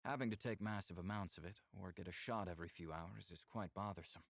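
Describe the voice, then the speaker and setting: A man performs an earnest alien man in a visual novel. He speaks in a lowish voice with measured, formal phrasing, recorded close and dry. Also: gravelly, no room sound. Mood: measured, candid. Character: open-minded, measured male alien, earnest and teasing.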